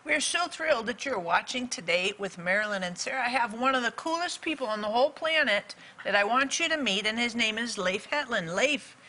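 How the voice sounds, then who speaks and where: Bright woman hosting a talk show. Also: fairly high pitch, animated, brisk, hardly any pauses, poor audio. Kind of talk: presenting and interviewing.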